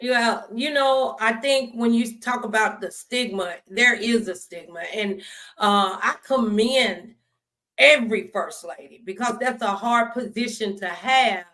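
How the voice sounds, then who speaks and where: A woman's voice: a little high, measured pace, fairly bright tone. A woman hosting an upbeat talk show interview, speaking in an effusive, encouraging and colloquial way.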